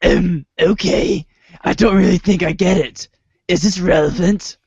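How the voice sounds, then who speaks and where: A woman playing a naive, casual teenage boy hero in amateur reader's theater, hesitant and deadpan. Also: fairly low pitch, a little slow, creaky, husky, no room sound, full character voice. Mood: puzzled. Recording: dry, dull lo-fi recording.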